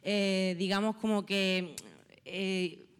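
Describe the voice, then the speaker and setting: A woman's voice: medium pitch, level tone, slow pace, clear, resonant tone. A woman, an actress in the cast, describing her role with lots of fillers and giggles. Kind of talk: press presentation remarks. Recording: long hall reverb.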